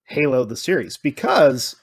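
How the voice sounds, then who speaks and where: A man's voice: mid-range voice, big pitch swings, measured pace, vocal fry, slightly rough. A man co-hosting a podcast, talking casually and thinking aloud as he goes. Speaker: podcast co-host.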